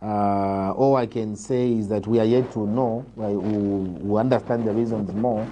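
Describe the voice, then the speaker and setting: A man with a Zambian accent answering political interview questions in a measured, formal, emphatic way. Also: on the low side, fairly even intonation, warm tone, a little hiss or hum. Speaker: political interviewee, party leader.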